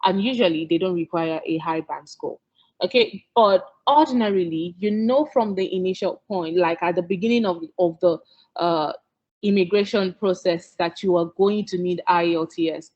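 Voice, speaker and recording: A woman's voice: fairly low pitch, moderate pace, a little mellow, muffled recording. A Nigerian woman whose first language is Yoruba, giving an earnest exam-prep lesson as a tutor, coaxing listeners and checking in with "okay?". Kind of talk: instructional talk.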